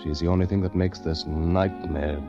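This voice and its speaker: An earnest, uneasy loner with a very deep voice. He is hesitant and brooding in old radio drama style, on a dull recording with music behind. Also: steady tone, normal speed. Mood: brooding, haunted. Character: earnest, uneasy man trapped among eerie recluses. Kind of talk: 1940s radio acting, first-person diary narration.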